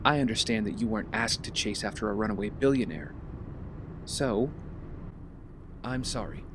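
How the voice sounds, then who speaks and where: A man's voice: lowish voice, moderate pace, background noise, natural voice. A man acting in an audio drama as a glib, secretive young billionaire, playful and needling. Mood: earnest, sly.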